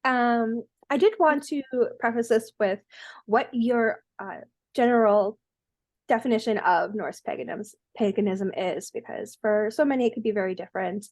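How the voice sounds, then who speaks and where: A woman's voice: on the high side, moderate pace, a little mellow, no room sound. A woman hosting a podcast, asking questions casually with many fillers. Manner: friendly, filler-heavy. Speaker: podcast host. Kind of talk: casual interview.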